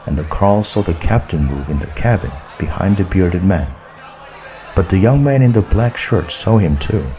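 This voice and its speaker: Male narrator, low register, unhurried and deliberate scripted storytelling. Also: mellow, slight background noise. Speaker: audiobook narrator.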